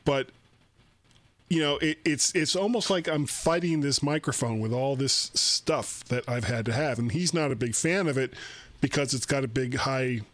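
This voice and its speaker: A man's voice: medium pitch, normal speed, fairly bright tone, dry recording. An older man hosting a casual tech podcast on a video call, rambling and chatty, laughing easily, from a home room into a studio microphone. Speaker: podcast host. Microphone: studio microphone on a boom.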